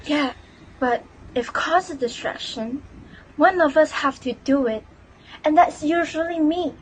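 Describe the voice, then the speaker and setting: A woman's voice: sits high, a little slow, a little hiss or hum, poor audio. A young woman playing a cocky, sarcastic teenage girl pickpocket in a scripted reader's theater piece, with dry delivery. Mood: grudging, plotting.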